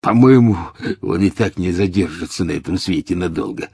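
A man voicing a heavy, sneering male dark wizard who mocks his victim. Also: low voice, fairly quick, slightly rough, no echo, clean studio sound. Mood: mocking. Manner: mocking.

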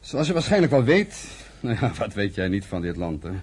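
An actor playing a militant, impatient pioneer settler in a Dutch radio play, arguing forcefully with his brother. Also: fairly low pitch, sing-song, normal speed, husky. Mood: persuasive. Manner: forceful, argumentative, scornful.

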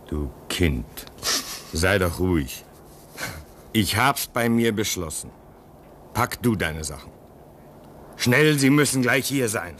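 A man's voice: fairly low pitch, lively intonation, takes it slowly, pauses often, fairly bright tone, a little hiss or hum. A man acting an earnest, comforting, self-denying outpost dweller in a German radio play. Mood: gentle, resolute.

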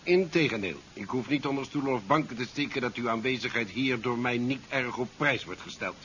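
A man's voice: mid-range voice, a little fast, fairly bright tone, lightly acted. A male actor playing a formal, cuttingly courteous navy commander in a science fiction radio play. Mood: coolly disdainful. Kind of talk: 1970s science fiction radio drama acting. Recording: dull 1970s radio recording, slight noise.

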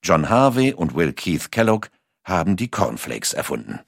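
A man reading scripted documentary narration in a measured, carefully articulated storytelling manner. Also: low-pitched, very animated, gravelly, dry recording, clean studio sound.

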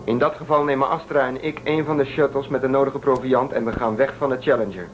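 A man's voice: medium pitch, fairly even intonation, fast, dull audio, slight background noise, lightly acted. A man playing a defiant, suspicious spaceship crewman in a radio play, urging and persuading. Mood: insistent. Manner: urgent, persuasive, suspicious of authority.

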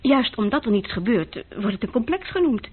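An actress playing an earnest, thoughtful young female university student in a radio drama, hesitant and gently frank. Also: on the high side, sing-song, brisk, slightly rough. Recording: muffled old radio recording.

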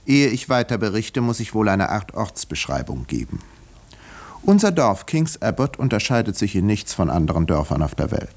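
An actor playing an urbane village doctor who narrates a 1950s radio drama with dry, measured irony. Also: male voice, on the low side, big pitch swings, a little mellow. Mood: dry, wry. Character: wry, urbane village doctor telling his own story.

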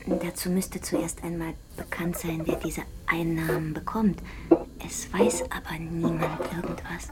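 Loud noise surrounds an unimpressed woman in a satirical radio play. Her voice is a little low and she speaks in a dry, deadpan, matter-of-fact way. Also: measured pace. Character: dry, unimpressed railwayman's daughter showing visitor around. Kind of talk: satirical radio drama acting.

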